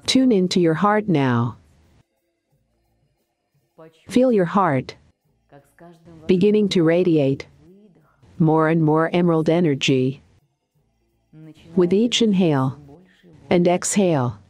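A woman guiding a meditation in a calm, deliberate, soothing way, with pauses between short phrases. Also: lowish voice, faint music behind. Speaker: meditation guide and coach. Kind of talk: guided meditation narration.

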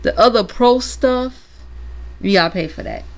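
A woman vlogger giving a casual software demo, rambling and thinking aloud. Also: on the high side, normal speed. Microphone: computer microphone.

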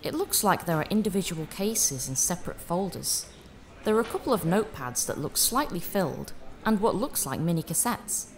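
A woman acting as a candid, helpful widow in an audio drama. Her voice sits fairly low and swings widely in intonation. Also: big pitch swings, normal speed.

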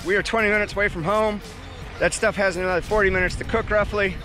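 A man's voice: high-pitched, steady tone, fast talker, bright, forceful tone, a faint crowd. A man in his forties chatting to the camera in a loose, self-mocking way while cooking in his kitchen and out riding his bike. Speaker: cycling vlogger. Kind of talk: casual vlog, cooking and riding. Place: home kitchen, then outdoors on a road bike. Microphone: action camera on the bike or held close. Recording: action-camera audio with music underneath.

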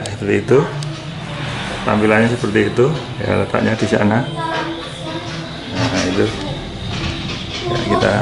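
A man's voice: lowish voice, creaky. A man giving a casual hands-on product demonstration, repeating short phrases as he goes. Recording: noticeable background noise.

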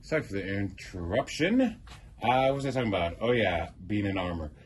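A man's voice: fairly low pitch, sing-song, brisk, slight background noise. A middle-aged man giving a casual, rambling tour of his prop box in a theater prop shop, listing tools with many ums and uhs. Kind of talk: casual show-and-tell tour.

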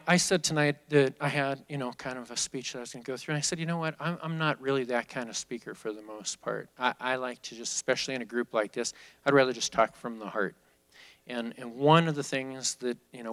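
Candid executive talking off the cuff. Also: male voice, medium pitch, a bit bright. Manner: candid, off-the-cuff, with frequent restarts. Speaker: company executive speaking at a business school event. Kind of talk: conversational onstage Q&A. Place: large auditorium stage, seated. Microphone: handheld mic.

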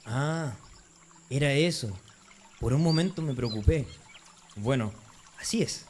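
A man's voice: medium pitch, animated, unhurried, darkish tone, a little hiss or hum, lightly acted. A man acting as a zealous, dutiful young Pharisee, speaking earnestly and resolutely in an amateur radio drama. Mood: indignant.

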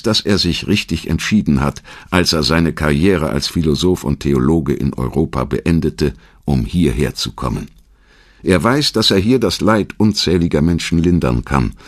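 A man with an extremely low voice narrates a scripted documentary. His delivery is measured and story-like, with lively rises and falls in pitch. Faint music plays behind him.

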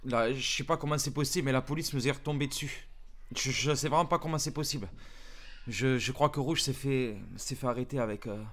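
A man voicing a panicked young male smuggler hiding from police, swearing constantly, stammering and often dropping to hushed whispers. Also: mid-range voice, a little fast, lightly acted. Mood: panicked pleading. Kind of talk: improvised roleplay acting.

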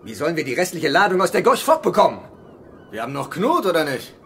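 Ruthless gang boss, animated higher voice. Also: male voice, fast talker, a little hiss or hum. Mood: cold, calculating. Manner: commanding, cynical. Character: ruthless male gang boss, cold and commanding. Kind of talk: radio drama acting.

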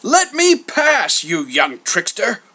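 A man voicing a cranky old male poet in a storybook, with a blustering, gruff, grumbling way of talking. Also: mid-range voice, animated, moderate pace, fairly bright tone. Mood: blustering, indignant.

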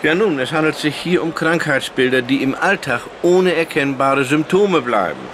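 A man's voice: on the high side, expressive, moderate pace, background noise, lightly acted. An actor playing an officious, matter-of-fact male throat doctor in a radio comedy interview, pompous with jargon and blunt in asides.

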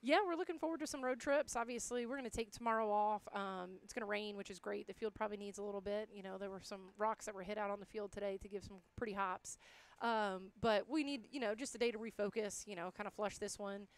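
A woman's voice: mid-range voice, fairly even intonation, fast. A woman in her thirties speaking as a coach in a postgame interview on a headset mic, upbeat and colloquial. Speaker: college softball head coach. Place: indoors in front of a backdrop.

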